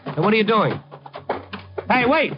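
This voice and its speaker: A man's voice: high-pitched, sing-song, moderate pace, background noise. An actor playing a streetwise, wisecracking newsman hero in a 1950s radio noir drama, with a dry, insistent, hard-boiled delivery. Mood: wary, alarmed. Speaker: lead character and narrator. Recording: dull, band-limited 1950s radio recording.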